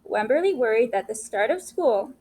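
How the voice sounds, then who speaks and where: A woman's voice: fairly high pitch, normal speed. A woman reading a picture book aloud to children, adding short explanations as she goes. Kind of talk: read-aloud storytelling with commentary for children. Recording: clear steady background noise.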